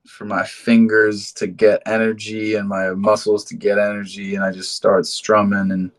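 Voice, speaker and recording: Low male voice, twenties, from London, casual interview chat, laid-back, sweary, slangy. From London, England, then United States from high school. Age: 20s. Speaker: band musician being interviewed.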